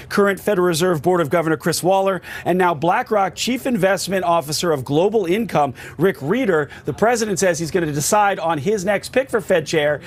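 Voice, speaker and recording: A man delivering a broadcast news report as a reporter. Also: high-pitched.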